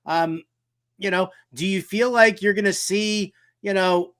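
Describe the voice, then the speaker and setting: A man's voice: sits high, steady tone, unhurried, a bit bright, clear, resonant tone. A man hosting a sports talk show, interviewing casually in a conversational way with a fan's enthusiasm.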